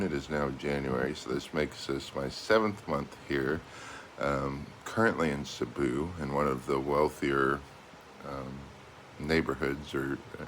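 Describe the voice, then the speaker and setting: A man's voice: a bass voice, a little hiss or hum. A middle-aged American man speaking casually and unscripted, as a vlogger talking to his viewers. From United States. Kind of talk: casual vlog monologue.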